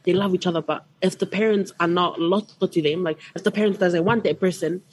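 Young woman, lowish voice, chatty, rambling with fillers, student guest. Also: steady tone, fairly quick, darkish tone, breathy edge, low-quality recording. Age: young adult. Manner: chatty, rambling with many likes. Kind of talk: casual radio chat.

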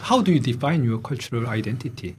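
A man's voice: medium pitch, animated, fast, a little mellow, slight background noise. A man with a Korean accent, a native Korean speaker hosting a radio interview, asking questions and switching between English and Korean. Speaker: radio host. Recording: community radio studio.

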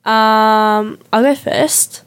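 A woman's voice: a little high, fairly even intonation, very slow, smooth. Chatty young New Zealand woman host. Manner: chatty storyteller, lots of likes and ums. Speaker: youth radio host. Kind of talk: casual chat.

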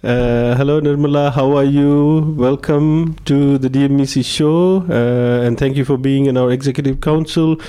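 A man presents a live community radio show from a studio. His voice is mellow and relaxed in tone, at a middling pitch. He talks effusively, repeating words for emphasis as he brings in guests. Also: moderate pace, hardly any pauses, smooth. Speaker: radio host.

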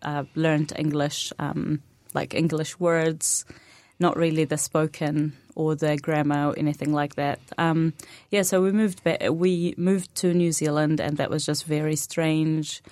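Palestinian woman, native arabic speaker, young adult, low voice, soft-spoken, many pauses, dry studio sound. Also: fairly even intonation, no room sound. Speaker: interview guest. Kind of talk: radio interview conversation.

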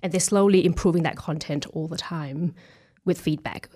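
A woman's voice: low voice, moderate pace, dark tone, breathy edge. A woman from Thailand answering questions casually as a guest in an interview. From Thailand, from Bangkok. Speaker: interview guest, web developer at a software company.

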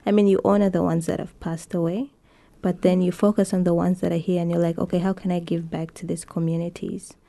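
A woman's voice: on the low side, level tone, brisk, vocal fry, few pauses, dark tone. A woman hosting an interview, encouraging and affirming her guest as she talks. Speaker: interviewer, youth show host. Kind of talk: casual interview.

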